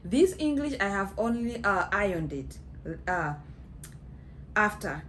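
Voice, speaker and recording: A woman's voice: mid-range voice, big pitch swings, a bit bright, a little hiss or hum. A woman in her thirties from Kenya with a Kenyan accent, telling a story to the camera in a chatty way full of fillers. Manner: slow, chatty, many fillers, mixes in Swahili phrases. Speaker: vlogger. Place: small indoor room at home.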